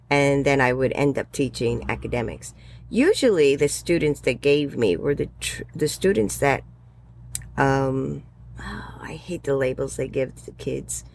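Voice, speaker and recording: A woman in her sixties, a guest in an interview, telling stories in a rambling, animated way with fillers. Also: sits low, gravelly, a little hiss or hum. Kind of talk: casual interview chat.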